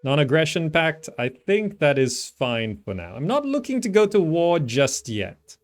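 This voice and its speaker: A man's voice: fairly high pitch, very animated, a little slow. A man giving casual gameplay commentary, thinking aloud and repeating himself as he works things out. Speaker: gamer, channel host.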